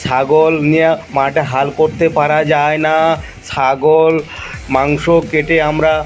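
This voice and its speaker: A man's voice: a little high, little pitch movement, a little slow, soft background music, lightly acted. A man voicing a boastful, simple-minded boy pupil in an amateur animal cartoon comedy. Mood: earnest reciting.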